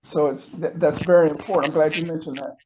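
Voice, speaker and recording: A man in his sixties speaking as an interview guest over a call, agreeable and halting as he explains. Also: fairly high pitch, fairly even intonation, background noise. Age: 60s. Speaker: interview guest giving testimonial. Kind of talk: casual interview. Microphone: remote call. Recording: dull, muffled call audio.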